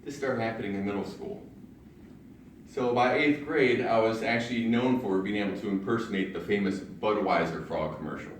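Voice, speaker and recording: A young man from Ohio with a deep voice, giving a prepared personal speech with self-deprecating jokes at a public speaking club. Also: moderate pace, recorded from a distance, slight background noise. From Ohio, USA. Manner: self-deprecating humor, storytelling with punchlines. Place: large meeting room in a library. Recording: very echoey room, recorded from afar.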